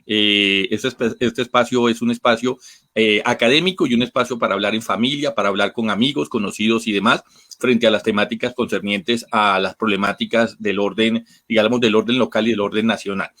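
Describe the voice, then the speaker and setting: A man's voice: on the low side, steady tone, a little fast, a bit bright. A man from Colombia's Caribbean coast with a Bogotá accent, hosting an academic online programme informally in a wordy, repetitive, courteous way. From Atlántico, Caribbean coast of Colombia. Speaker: host of an academic talk programme.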